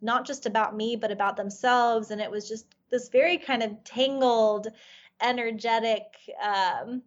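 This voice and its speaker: A woman's voice: a little high, fairly even intonation, a little slow, highs cut off. Earnest woman with a Farsi mother tongue. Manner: earnest, reflective, many "you know" fillers. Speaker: guest life coach. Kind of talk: storytelling in a podcast interview.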